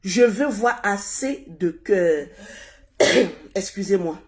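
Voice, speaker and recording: Hoarse woman hosting a live stream. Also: mid-range voice, expressive, takes it slowly. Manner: repetitive, urging viewers to share. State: hoarse from a cold. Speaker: livestream host. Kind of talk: casual live stream talk.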